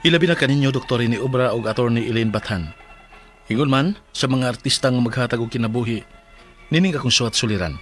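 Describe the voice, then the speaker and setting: A man's voice: mid-range voice, quick pace, fairly bright tone, lightly acted. An actor with a Cebuano accent playing an earnest, ailing 45-year-old husband who tells his troubles in a Philippine radio drama. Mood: earnest, troubled. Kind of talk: Philippine radio drama acting and letter narration. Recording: music bed under voice.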